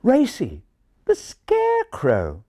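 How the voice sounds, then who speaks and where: A man's voice: medium pitch, huge pitch swings, a little slow, mellow, breathy edge, voice pushed up, full character voice. A man voicing a proud, patronising English pigeon in a storybook, with a refined English accent and a posh, proper manner. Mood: proud explaining. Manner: posh, proper, faintly indignant. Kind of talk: children's storybook character voice.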